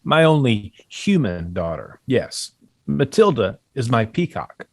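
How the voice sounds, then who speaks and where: A man's voice: mid-range voice, sing-song, measured pace, creaky, darkish tone, full character voice. A man acting a genial, eccentric, well-to-do father in a period comedy read, chatty and genteel.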